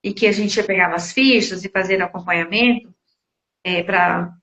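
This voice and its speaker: A woman's voice: lowish voice, moderate pace, fairly bright tone, roomy sound. A Brazilian woman in her sixties reflecting casually in conversation as a podcast guest, searching for words as she goes. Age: 60s. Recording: slightly dull lo-fi audio.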